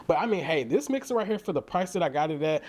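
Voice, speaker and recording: Man, St. Louis American accent, very quick pace, slightly high voice, slangy, enthusiastic tech review. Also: lively intonation, darkish tone. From St. Louis, Missouri, United States. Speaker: tech reviewer and content creator. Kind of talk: casual upbeat product review to viewers.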